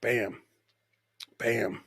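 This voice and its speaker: A man's voice: mid-range voice, level tone, extremely slow, creaky, fairly bright tone, husky, no echo, clean studio sound. A man hosting a live show, chatting casually and informally as he reacts to clips and often repeating his own lines. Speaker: podcast host and stand-up comedian.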